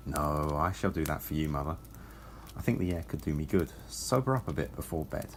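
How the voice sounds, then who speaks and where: An actor playing a wry, world-weary ageing Time Lord tutor in an audio drama, dry and unflappable with a gravelly low voice. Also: male voice, expressive, fairly quick, a little hiss or hum.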